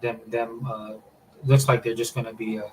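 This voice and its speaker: A man's voice: lowish voice, level tone, normal speed, a little mellow, slight background noise, rough recording. A man hosting a casual podcast chat, rambling with many fillers and restarts. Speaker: podcast host.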